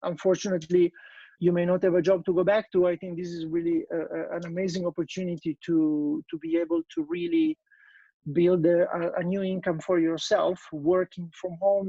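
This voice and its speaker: A man with an Italian accent talks through an online business presentation in a high, gravelly voice. He hesitates often, with plenty of fillers and restarts. The video call audio sounds dull and dry. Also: dark tone, dry recording. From Italy.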